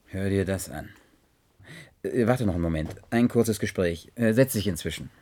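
A man's voice: deep voice, moderate pace, noisy background, lightly acted. An actor playing an earnest, polite investigating chronicler in a German radio play, hesitant but persistent in his questions. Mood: preoccupied, inquiring.

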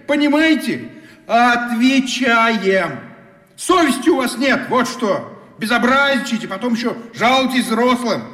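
An actor playing an indignant, officious middle-aged camp director who hectors and scolds in a radio play. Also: male voice, very high-pitched, expressive, measured pace, bright, forceful tone, exaggerated voice. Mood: scolding. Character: blustering, scolding male summer camp director. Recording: echoing outdoor address with long reverb.

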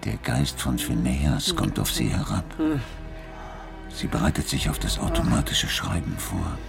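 An actor playing a solemn gentleman guiding a seance in a radio drama, giving hushed-room instructions with gravity. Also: a bass voice, measured pace, gravelly, loud music behind the voice, exaggerated voice. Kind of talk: German radio drama acting.

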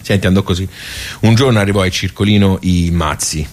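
A nostalgic village club regular, played by a male actor, reminisces in a low-pitched man's voice with a Tuscan accent. He is chatty and folksy, wandering off into digressions as he goes. The delivery is that of a colloquial radio theatre monologue. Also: fairly quick, lightly acted. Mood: nostalgic, wry.

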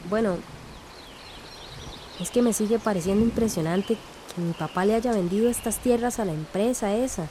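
Wistful daughter played by an actress, radio drama; reflective, mid-range voice, faint ambience. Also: female voice, medium pitch, moderate pace, long pauses, noisy background, natural voice. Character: wistful daughter missing her father.

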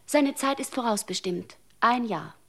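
An actress playing a grieving, honest mother who pleads and protests, moving from hushed whispers to raised insistence. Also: on the high side, expressive, unhurried. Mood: fearful. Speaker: mother at a funeral. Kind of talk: radio drama acting.

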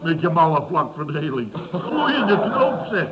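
An actor playing a boastful, boisterous builder boss who tells stories in a repetitive, blustering way in a radio comedy. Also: male voice, fairly high pitch, sing-song, unhurried, heavy background noise. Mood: amused. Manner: blustering, repetitive storyteller acting out quotes. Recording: dull old radio recording, studio audience.

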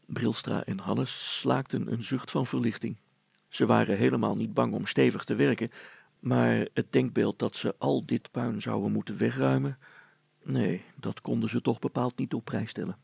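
A man's voice: medium pitch, fairly even intonation, moderate pace, vocal fry, darkish tone, breathy edge, no room sound. A man narrating a scripted children's story as a storyteller.

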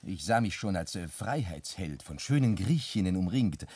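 A vain French gentleman. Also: deep voice, expressive, normal speed, breathy edge, dry recording. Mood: sulking. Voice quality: theatrical, with French phrases. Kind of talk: classic radio drama acting.

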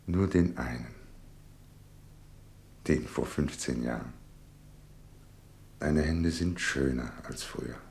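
A man's voice: very deep voice, gravelly, full character voice. Anxious, tender father in echoey room. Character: anxious, tender middle-aged father awaiting his missing son. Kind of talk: German radio play acting.

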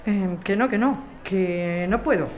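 A woman's voice: medium pitch, measured pace, a little mellow, natural voice. Actress as secretive tired woman, Spanish accent, curt, evasive, radio soap, faintly noisy recording. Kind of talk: radio soap opera dialogue.

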